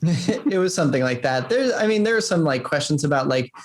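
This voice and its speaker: A man's voice: a little high, animated, brisk. A man speaking casually as a game developer guest in an interview.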